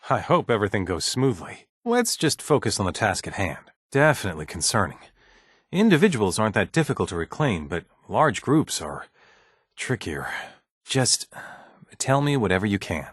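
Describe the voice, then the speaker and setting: Game role: mild bureaucrat scientist, man, lowish husky voice, courteous, hesitant, slightly uneasy office professional. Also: huge pitch swings, no echo, studio quality, full character voice. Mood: polite, businesslike, then concerned, probing. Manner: hesitant, deferential, measured office-professional speech. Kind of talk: video game dialogue acting.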